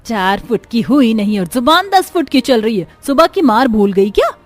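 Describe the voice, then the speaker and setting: A woman voicing a cruel, bossy aunt in a cartoon, sharp-tongued and threatening. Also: fairly high pitch, very animated, normal speed, faint music behind. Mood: angry, threatening. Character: cruel, scolding aunt bullying orphaned children. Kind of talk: Hindi cartoon villain acting.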